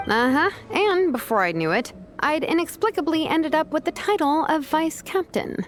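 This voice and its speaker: A woman's voice: sits high, animated, normal speed, soft background music. A woman playing a laid-back young craftswoman in an anime video game, wry, casual and understated. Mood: reluctant, dry. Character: laid-back, dry young craftswoman, a reluctant vice captain.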